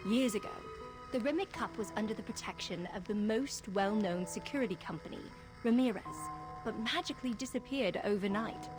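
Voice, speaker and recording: An actress playing a polished, formal news presenter in a video game. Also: female voice, mid-range voice, a little fast, music in the background, close to an everyday voice. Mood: formal, presentational. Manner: formal, announcer-like.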